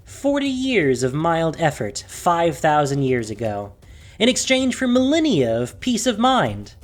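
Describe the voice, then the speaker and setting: A woman's voice: sits low, very animated, measured pace. A woman narrator reading a scripted fantasy story in an intense, emphatic, theatrical style.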